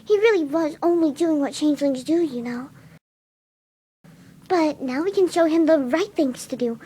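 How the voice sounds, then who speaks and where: A woman voicing a gentle, soft-spoken motherly pegasus pony in a fan reading of a cartoon story. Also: sits high, moderate pace, lightly acted. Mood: proud, sweet. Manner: cooing, sweetly maternal.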